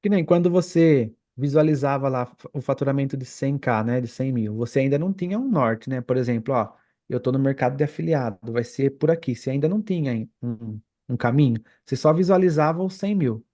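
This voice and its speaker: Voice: mid-range voice, fairly quick, few pauses, mellow. A Brazilian podcast host chatting casually, summing up points and checking agreement with short tag questions. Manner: agreeing, tags statements with questions. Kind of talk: casual interview chat, summing up the guest's points.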